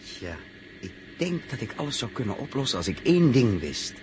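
A man's voice: medium pitch, sing-song, moderate pace, close to an everyday voice. An actor playing a reasonable, easygoing crewman in a 1960s radio drama, with a colloquial, reflective way of talking. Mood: thoughtful. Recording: noisy early-1960s radio recording.

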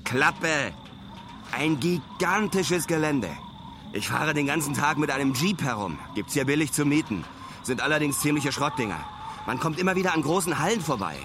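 An actor playing a brash, excitable young male traveller who keeps a slangy spoken diary in clipped phrases, in a radio drama. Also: a little high, moderate pace, music in the background, natural voice. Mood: irritated.

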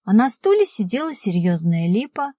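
A woman's voice: mid-range voice, sing-song, normal speed, mellow, dry recording. Dry-sounding woman narrating fiction. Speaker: audiobook narrator. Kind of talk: scripted first-person fiction narration.

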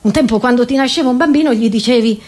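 A woman's voice: a little high, quick pace, a little mellow. A woman comedian with an Italian accent delivers a satirical monologue as a radio host, conversational and ironic, tossing in rhetorical questions.

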